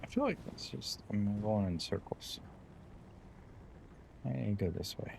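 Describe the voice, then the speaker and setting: Slow, gravelly man muttering through gameplay. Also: on the low side, big pitch swings, darkish tone, a little hiss or hum. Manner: terse, fragmented remarks. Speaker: game streamer. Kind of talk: casual live gameplay commentary, muttering to self.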